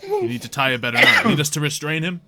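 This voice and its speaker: A man voicing a brisk, suspicious but polite town guard in a tabletop fantasy game. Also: fairly high pitch, wildly swooping pitch, heavy background noise. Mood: brisk, helpful.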